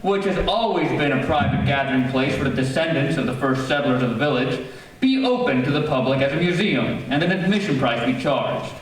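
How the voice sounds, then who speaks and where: A man's voice: mid-range voice, expressive, fairly quick, close to an everyday voice. An actor playing a village meeting chairman, formal and oratorical in old-time radio drama style. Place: theater stage, live performance. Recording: very echoey theater, distant mic, faint noise.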